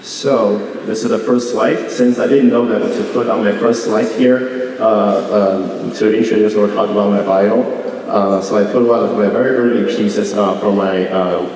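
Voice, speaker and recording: A man's voice: on the low side, fairly even intonation, normal speed, darkish tone, a little hiss or hum. A man, giving an artist talk with slides and speaking hesitantly with many fillers and restarts. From Beijing, China. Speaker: visiting artist. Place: art gallery hall. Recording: echoey gallery hall from far off.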